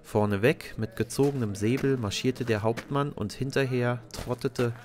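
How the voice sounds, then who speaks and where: A man's voice: medium pitch, fairly even intonation, moderate pace, darkish tone. A man narrating a scripted children's story as a storyteller.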